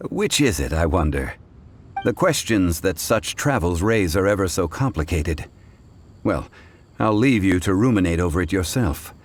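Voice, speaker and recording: An actor playing a refined, calm, scholarly gentleman in a video game, speaking in a formal, measured, ponderous way. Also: low-pitched, slight background noise. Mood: composed, musing. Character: refined, scholarly gentleman, calm and absent-minded about money. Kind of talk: video game dialogue acting. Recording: music playing behind. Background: background music.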